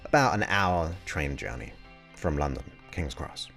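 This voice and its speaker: Low, unhurried male voice in his forties, chatting casually through a live question-and-answer stream. He muses aloud and reads viewer questions as he goes. Soft music plays faintly behind him. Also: big pitch swings, creaky.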